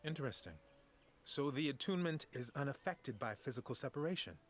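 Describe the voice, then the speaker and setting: A man playing a measured, shrewd and courteous official in a fantasy video game. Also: mid-range voice, lively intonation, close to an everyday voice. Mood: intrigued. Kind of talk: dramatic video game dialogue.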